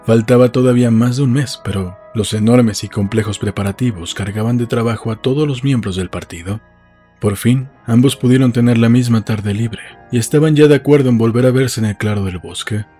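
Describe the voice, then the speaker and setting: A man's voice: mid-range voice, fairly quick, warm tone, breathy edge. A man narrating a novel as a scripted literary audiobook. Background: faint music.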